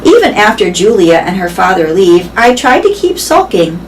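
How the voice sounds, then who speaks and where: A woman with a mid-pitched voice reads a children's novel aloud. She narrates it as a storyteller. There is faint noise and room ambience behind her. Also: big pitch swings, normal speed, distant mic, rough recording. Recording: clear background noise.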